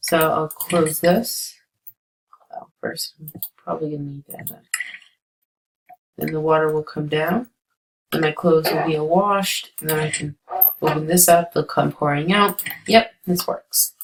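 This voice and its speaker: A woman giving chatty, rambling commentary while playing a building game, her voice going hoarse. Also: sits low, steady tone, a little slow, pauses often, slight background noise, low-quality recording. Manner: chatty, rambling, many ums. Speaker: let's play creator. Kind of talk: casual gameplay commentary.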